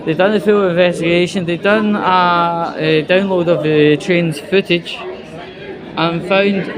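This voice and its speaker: A Scottish man giving an informal vlog monologue while out walking, talking in a halting, hesitant, conversational way. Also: high-pitched, steady tone, crowd noise. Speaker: vlogger. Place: out and about on a walk.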